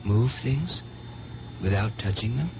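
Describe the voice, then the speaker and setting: A man's voice: lowish voice, expressive, unhurried, creaky. An actor playing a devout, earnest young man who believes he has divine powers, in a 1970s radio drama. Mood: fervent, pious. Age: young adult. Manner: earnest, pious, solemn. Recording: muffled, noisy 1970s radio broadcast recording.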